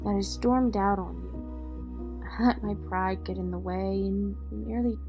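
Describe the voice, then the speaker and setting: A woman's voice: mid-range voice, fairly even intonation, a little slow, creaky, lo-fi sound. A woman acting a loyal, no-nonsense ally of a vigilante in an audio drama. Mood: regretful, frank. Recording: faint music behind the voice.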